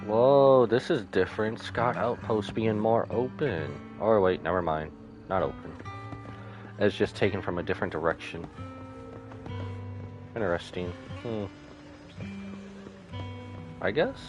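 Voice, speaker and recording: A man's voice: lowish voice, measured pace, long pauses. A man on a live game stream, reading dialogue aloud and adding his own casual comments. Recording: music behind the voice.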